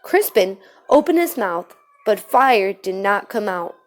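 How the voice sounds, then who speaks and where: A woman reading a children's storybook aloud in an expressive way. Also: mid-range voice, measured pace. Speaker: storybook reader.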